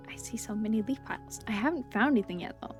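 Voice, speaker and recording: A woman giving casual, playful gameplay commentary as a video host, chatty and quick to exclaim. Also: a little high, animated, faint music behind.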